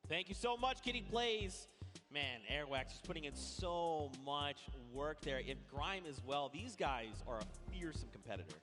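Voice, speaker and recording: A man casting a live esports event in an arena, excitable and rambling, his voice strained from shouting. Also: fairly high pitch, normal speed. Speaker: esports caster. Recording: live event with music behind.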